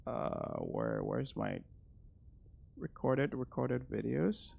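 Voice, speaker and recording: A man's voice: fairly low pitch, wildly swooping pitch, slow pace, gravelly, husky, highs cut off. A man streaming as a game guide host, casually commentating and repeating his phrases as he thinks aloud. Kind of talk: casual gameplay commentary with asides to viewers.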